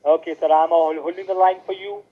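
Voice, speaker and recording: A man cold-calling as a scam caller, pushing a scripted pitch and spelling letters out slowly over a phone line. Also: little pitch movement. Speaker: scam caller posing as support.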